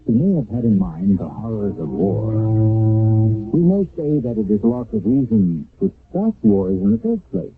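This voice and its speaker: A man introduces an old radio mystery play in a measured, solemn way. His voice is gravelly and moves up and down a lot. It comes through a thin, tinny old broadcast recording. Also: lowish voice, huge pitch swings, soft background music. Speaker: radio drama host. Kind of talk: scripted dramatic narration.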